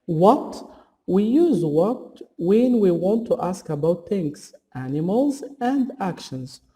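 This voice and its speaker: A man teaching English grammar as a scripted lesson, deliberately reading out example questions and answers in a didactic way. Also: high-pitched, very animated, dark tone. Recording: long hall-like reverb on the voice.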